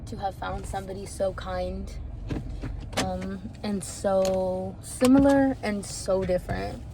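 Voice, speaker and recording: A woman's voice: medium pitch, fairly even intonation, slow. Woman, early 20s, candid vlogger, rambling and laughing, recorded outside with traffic noise. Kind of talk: reflective personal vlog.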